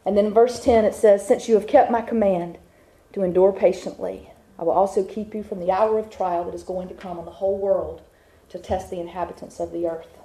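A woman's voice: mid-range voice, fairly even intonation, brisk, mellow. Impassioned woman preacher, echoey room. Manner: impassioned, with rhythmic repetition. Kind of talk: sermon. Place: church with a congregation.

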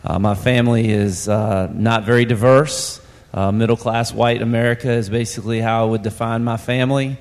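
A man's voice: medium pitch, fairly even intonation, moderate pace, a little mellow. A man from Virginia's Shenandoah Valley, a basketball coach, speaking plainly on a church panel. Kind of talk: personal testimony. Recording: hall reverb.

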